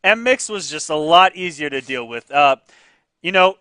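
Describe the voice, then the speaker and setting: A man hosting and commentating a fighting-game tournament live, excitable, laughing often and tripping over repeated words. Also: fairly high pitch, normal speed, smooth, no echo.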